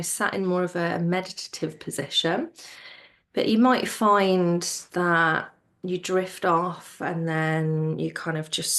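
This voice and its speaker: A woman teaching yoga nidra in a soothing, gentle manner, her soft voice trailing off toward a near-whisper as she guides relaxation. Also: on the low side. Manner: soothing, gentle, unhurried with pauses.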